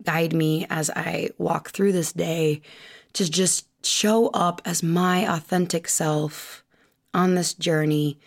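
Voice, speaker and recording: A woman's voice: on the low side, steady tone, slow, vocal fry. A woman who hosts a podcast and works as a chiropractor, opening the show with a casual, irreverent spoken prayer.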